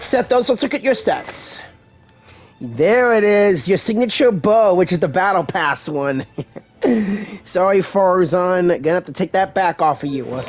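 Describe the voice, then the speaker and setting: This is a gaming host talking casually through gameplay. The delivery breaks into excited exclamations, and game music plays faintly behind the voice. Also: medium pitch.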